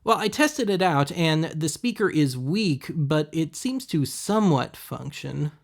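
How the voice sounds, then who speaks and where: A man hosting a web series, giving casual, deadpan, wry voice-over commentary on thrift finds. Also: a little high, a little slow, gravelly. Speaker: host of a web video series.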